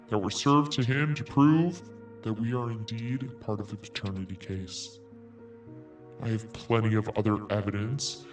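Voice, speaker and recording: A man's voice: on the low side, far from the mic. Sarcastic man reading an email aloud. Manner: sarcastic, cutting in with snarky asides. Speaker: podcast host.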